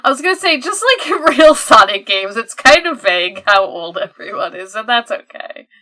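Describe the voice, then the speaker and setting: Bright, forceful woman in casual chat. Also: fairly high pitch, very animated, moderate pace. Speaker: podcast co-host. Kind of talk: casual chat, recapping a fan comic.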